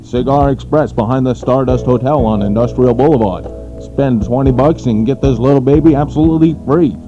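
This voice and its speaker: A man playing a smooth, cocky wise guy in an old-time tough-guy style radio ad. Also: mid-range voice, fairly quick, natural voice. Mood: smug. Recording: dull radio sound, music behind.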